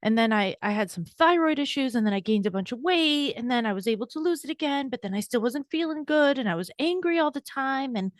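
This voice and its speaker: A woman in her forties, a burnout coach and guest on a podcast interview, telling her story in a candid, brisk, self-deprecating way. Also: a little high, no room sound, studio quality.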